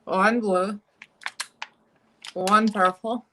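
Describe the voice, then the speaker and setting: A woman's voice: medium pitch, steady tone, extremely slow, bright, forceful tone, a little hiss or hum. A woman with a Russian accent chatting casually and giggling as she does her makeup live for viewers.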